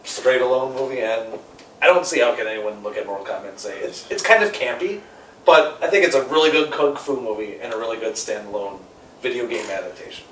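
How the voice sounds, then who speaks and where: A man in a casual, opinionated group debate, speaking in a halting, repetitive way with some swearing. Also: medium pitch, a bit bright. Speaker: panelist on a film ranking show. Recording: echoey room, distant mic, faint noise.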